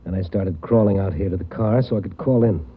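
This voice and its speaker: A man's voice: sits low, a little fast, slight background noise, close to an everyday voice. An actor playing a wounded police patrolman who speaks weakly and plainly, in 1940s radio drama style. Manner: weak, plain-spoken. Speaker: police officer. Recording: muffled old radio broadcast.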